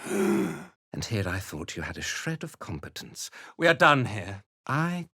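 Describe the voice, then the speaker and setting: An actor playing a cold, zealous cult commander in a fantasy video game, speaking in a measured, theatrical and disdainful way. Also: male voice, lowish voice, very animated, bright, forceful tone, husky, dry recording, clean studio sound, exaggerated voice. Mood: sardonic contempt. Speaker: villainous military commander.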